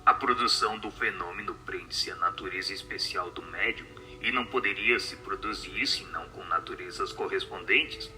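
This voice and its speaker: A man's voice: low voice, normal speed, hardly any pauses, bright, forceful tone, faint music behind, voice pushed down. A man voicing a solemn, assured spirit who answers questions in a radio drama.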